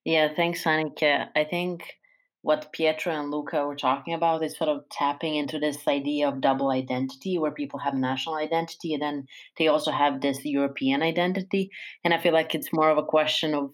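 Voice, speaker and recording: Very low-voiced, reflective young woman. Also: fairly even intonation, a little fast. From Serbia. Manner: reflective, hedging with "I feel like". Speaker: panel discussant. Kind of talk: casual discussion.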